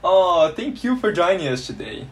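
A man's voice: high-pitched, very animated, normal speed. A man hosting a podcast interview, friendly and playful, with some hesitant restarts. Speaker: podcast host.

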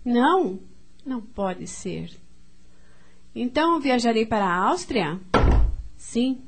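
A woman's voice: fairly high pitch, very animated, slow, breathy edge, exaggerated voice. A woman acting an elegant, sociable society hostess aunt in a radio drama, with a Brazilian accent and an effusive, genteel manner. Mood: eager. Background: music.

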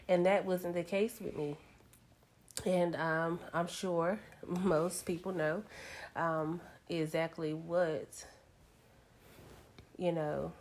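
A woman in her thirties co-hosting a casual talk show, candid and reflective, with a slightly raspy voice today. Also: sits low, a little mellow. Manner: candid and reflective, many fillers. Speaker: podcast co-host. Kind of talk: casual chat.

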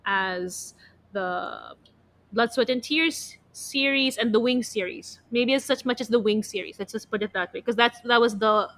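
A woman's voice: on the high side, a bit bright. A young Filipino woman co-hosting a casual podcast, chatting in a rambling way peppered with "like". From Philippines. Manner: chatty, rambling, heavy use of "like". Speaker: podcast co-host. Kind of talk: casual chat about pop music.

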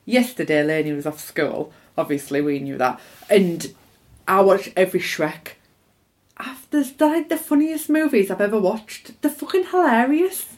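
A young woman, chatting casually and excitably on a podcast, swearing freely. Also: medium pitch, normal speed. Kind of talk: casual chat.

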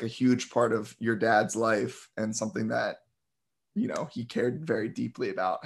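A man's voice: medium pitch, vocal fry. A man in his thirties hosting an interview, friendly and self-deprecating, trading jokes with his guest. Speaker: podcast host. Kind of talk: casual interview chat.

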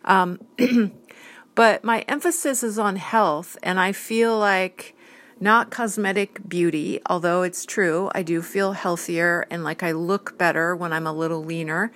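A woman's voice: lowish voice, steady tone, unhurried, a bit bright. A woman in her fifties, an art model, talking earnestly in a rambling casual monologue, listing things one by one. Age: 50s.

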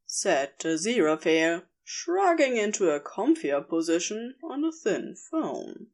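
A woman reading scripted fan fiction as narrator, in a measured, expressive storytelling manner. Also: medium pitch, slight background noise.

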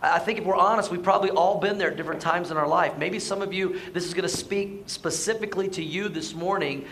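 This is a man giving a Sunday sermon to his congregation. His voice is hoarse and sits high, and he keeps the tone chatty. He often asks his listeners to answer back. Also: a bit bright, a little hiss or hum. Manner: conversational, asks the congregation to respond. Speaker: pastor. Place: church sanctuary.

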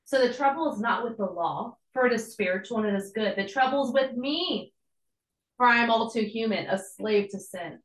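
Slightly hoarse young woman preaching. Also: a little high, moderate pace, reverberant, low-quality recording. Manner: encouraging, conversational preaching with casual asides. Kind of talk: devotional sermon.

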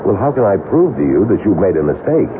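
An actor with an American accent playing a wary impostor in a 1970s radio drama. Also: male voice, sits low, sing-song, fast talker. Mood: wary, irritated. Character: smooth, wary male impostor living under a dead man's identity. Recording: muffled, noisy 1970s radio broadcast.

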